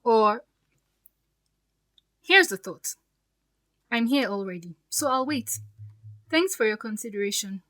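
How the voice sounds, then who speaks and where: A woman's voice: on the high side, animated, takes it slowly, long pauses, fairly bright tone. Woman playing a poised, cutting fiancée. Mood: sarcastic. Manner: pointed, sarcastic politeness. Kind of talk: audio drama acting.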